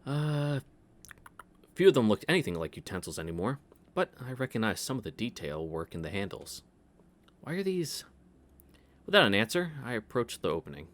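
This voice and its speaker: A man's voice: medium pitch, big pitch swings, moderate pace, pauses often. A man reading a visual novel aloud as a let's-play host, breaking off into casual asides.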